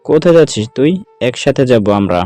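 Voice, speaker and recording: A man's voice: medium pitch, expressive, brisk, mellow, faint music behind, lightly acted. A man playing a matter-of-fact elder brother in an acted audio story.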